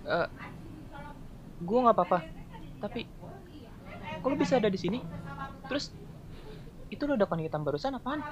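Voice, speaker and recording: A woman's voice: fairly high pitch, very animated, normal speed, long pauses, a crowd in the background. A woman playing a startled, puzzled young adventurer in a fantasy game roleplay, in lo-fi sound.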